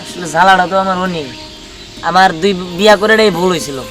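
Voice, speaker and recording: A man's voice: sits high, fast, over music, lightly acted. A man with a Bangladeshi accent playing a henpecked, exasperated master in a comedy drama, plaintive and grumbling. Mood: complaining. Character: henpecked, exasperated master with two domineering wives. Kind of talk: Bengali comedy drama acting.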